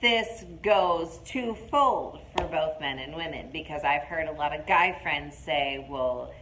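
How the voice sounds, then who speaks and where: A woman's voice: sits low, slow pace, noisy background. A woman in her late twenties co-hosting a dating advice show, talking in a blunt, slangy, casual way. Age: 20s. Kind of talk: casual chat.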